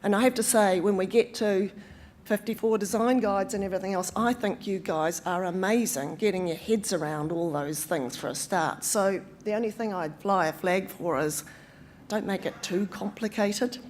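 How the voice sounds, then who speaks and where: A woman's voice: medium pitch, moderate pace, a little mellow. Kiwi woman giving an awards-night speech, dry little asides, lots of ums. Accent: New Zealand. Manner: wry asides, frequent ums and restarts. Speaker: awards speaker. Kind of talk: after-dinner speech. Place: event hall.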